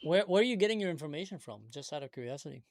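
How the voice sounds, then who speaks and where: A man's voice: on the high side, rapid-fire, dry recording, clean studio sound. A man born in Israel with Arabic as his first language, hosting a live video-chat debate stream and coolly pressing strangers with pointed, sarcastic questions. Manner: probing, calmly sarcastic questioner. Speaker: livestream host.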